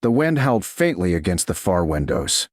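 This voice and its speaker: A man giving measured, dramatic scripted narration of a storybook romance. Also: low-pitched, sing-song, no room sound, studio quality.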